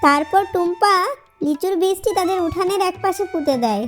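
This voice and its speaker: A woman's voice: extremely high pitch, fairly quick, music in the background. A woman reading scripted narration for a children's cartoon story.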